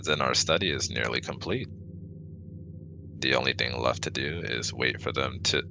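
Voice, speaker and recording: A man acts out an officious male alien scientist in an audio roleplay, with a rock-bottom, creaky voice. His wording is precise and formal and his patience is short, yet his intonation moves around a lot. There is clear noise in the recording. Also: very deep voice, normal speed. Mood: clinical. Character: formal, easily exasperated male alien exobiologist. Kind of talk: scripted ASMR audio roleplay.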